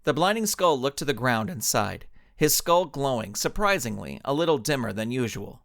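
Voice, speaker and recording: A man reading his own serialized story as an animated scripted narration. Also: mid-range voice, normal speed, vocal fry.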